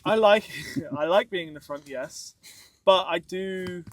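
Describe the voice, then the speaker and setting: A man's voice: sits high, a little slow. A man chatting casually as a podcast host about a hobby, recorded outdoors. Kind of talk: casual group chat about off-roading. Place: outdoors in the desert by a campfire at dusk.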